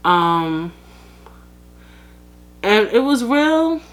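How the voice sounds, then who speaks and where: A woman's voice: medium pitch, sing-song, extremely slow. A young woman from the United States hosting a podcast, talking casually and frankly to her listeners, with plenty of fillers and asides. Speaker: podcast host. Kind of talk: casual solo commentary.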